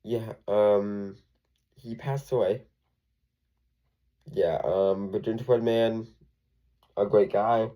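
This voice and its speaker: A man's voice: fairly low pitch, steady tone, slow pace, pauses often, mellow. A young man with a congested voice, rambling through a casual recap full of ums and self-corrections. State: congested from a cold. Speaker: wrestling show reviewer.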